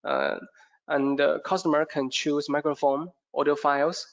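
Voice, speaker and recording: A man giving a product training presentation in a hesitant, halting way, often repeating words, with a creaky voice. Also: a little high, flat delivery. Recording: dull, muffled lo-fi audio.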